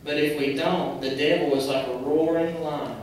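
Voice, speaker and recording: A man voicing an earnest, patient fireman puppet who gently preaches and admonishes in a church puppet show. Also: fairly high pitch, steady tone, moderate pace, close to an everyday voice. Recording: very echoey hall, distant mic, noisy.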